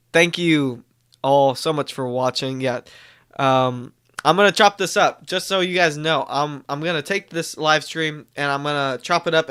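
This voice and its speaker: A man's voice: a little high, bright, forceful tone, smooth. A young man recovering from a cold, chatting casually and rambling on a live stream. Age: young adult. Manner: rambling, self-correcting, upbeat. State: stuffy from a cold, coughing.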